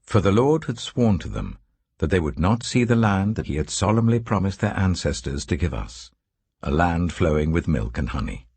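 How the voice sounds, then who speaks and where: A man reading scripture as a scripted narration, in a solemn, measured storytelling manner with deliberate phrasing. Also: low voice, big pitch swings, creaky, darkish tone, breathy edge, no room sound. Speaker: Bible narrator.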